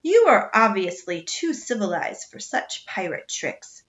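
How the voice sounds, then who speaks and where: A woman's voice: mid-range voice, very animated, a little slow, a bit bright. A woman voicing a polite, unflappable middle-aged butler who speaks formally. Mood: composed. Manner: formal, courteous.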